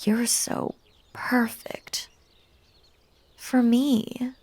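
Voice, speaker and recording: A woman playing a clingy, unhinged magical bunny woman in a roleplay audio drama, coaxing and gushing, breathy and dropping into whispers, with stammers and stern turns. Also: on the high side, little pitch movement, very slow, darkish tone, no room sound, full character voice. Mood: obsessive, adoring.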